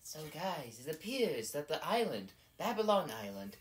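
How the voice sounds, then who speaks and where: A woman voicing an earnest, easily flustered cartoon news announcer, giving a halting mock-serious report. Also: deep voice, sing-song.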